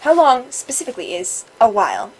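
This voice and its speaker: A woman's voice: on the high side, huge pitch swings, fairly quick, slight background noise, low-quality recording. A woman voicing a polite, obedient bird servant in a formal, deferential manner. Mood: earnest.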